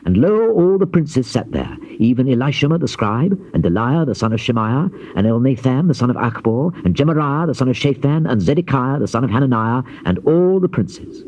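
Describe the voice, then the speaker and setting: An actor playing a grave Old Testament prophet in a dramatized scripture reading, declaiming in measured phrases. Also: male voice, mid-range voice, expressive, darkish tone, no echo, natural voice. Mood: grave narration. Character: solemn male Old Testament prophet recounting God's warnings. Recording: dull noisy old cassette tape.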